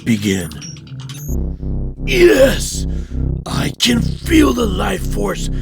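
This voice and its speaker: A man's voice: high-pitched, wildly swooping pitch, extremely slow, creaky, music in the background, full character voice. A man voicing a monstrous, power-hungry alien tyrant king in a comic book dub, imperious and grandiose. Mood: exultant.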